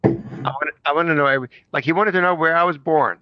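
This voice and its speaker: A man's voice: a little high, fast, dry recording, highs cut off. A man from Germany explaining things conversationally through personal anecdotes. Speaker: group call participant.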